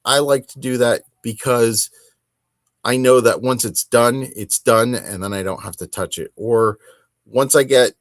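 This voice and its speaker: A man with a mid-pitched voice talks casually as a solo podcast host. His delivery is conversational and emphatic, with self-corrections along the way. The sound is close and dry. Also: unhurried, no room sound. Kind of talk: casual solo hobby talk.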